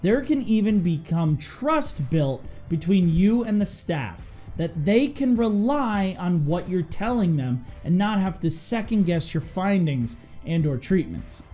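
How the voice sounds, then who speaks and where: A mellow voice with a very lively, swinging intonation delivers scripted instruction. The speaker hosts as an EMS instructor, emphatic and direct, with faint music underneath. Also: mid-range voice, a little slow, hardly any pauses. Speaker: EMS instructor and video host.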